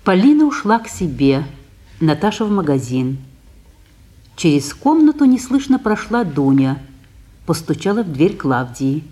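A woman's voice: low voice, sing-song, unhurried, warm tone. A woman reading scripted narration of the stage action in a radio broadcast of a play.

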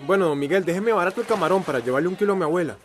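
A man's voice: sits high, lively intonation, very fast, some chatter behind. A young man acting a friendly, bantering character in a radio drama, colloquial and teasing.

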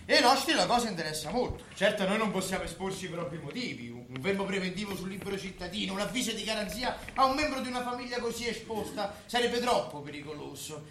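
Man as a high-pitched, bombastic scheming politician. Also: lively intonation, quick pace, hardly any pauses, fairly bright tone. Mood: conspiratorial. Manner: insinuating, emphatic, conspiratorial. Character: slippery, bombastic male politician hinting at intrigue. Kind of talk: comic stage acting. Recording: echoey theatre, faint noise.

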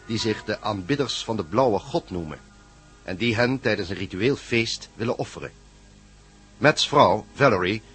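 A man narrating a radio drama recap in a scripted style. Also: medium pitch. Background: soft music.

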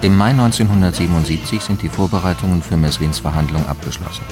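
Low-voiced male narrator over music. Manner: factual, measured reporting. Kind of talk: documentary-style scripted narration in a radio drama.

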